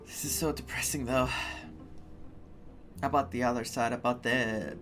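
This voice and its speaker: A man's voice: medium pitch, steady tone, normal speed, music in the background. A man giving casual playthrough commentary as a gaming streamer, musing aloud in short remarks.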